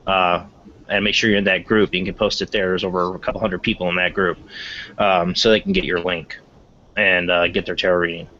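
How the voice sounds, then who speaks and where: A man's voice: low voice, little pitch movement, brisk, bright, forceful tone, lo-fi sound. A man casually hosting a paranormal call-in podcast, talking in a rambling, informal and repetitive way. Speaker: host of a paranormal call-in show.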